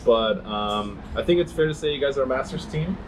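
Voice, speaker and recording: A man in his forties hosting a podcast, interviewing casually with many pauses and restarts. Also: mid-range voice, lively intonation, crowd noise. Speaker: podcast host.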